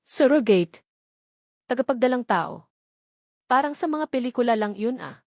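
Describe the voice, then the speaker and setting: A woman's voice: fairly high pitch, animated, moderate pace, no echo, studio quality, pitched above the performer's own voice. A woman playing a timid, anxious young provincial woman in a romance audio drama, speaking hesitantly and politely. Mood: nervous, disbelieving.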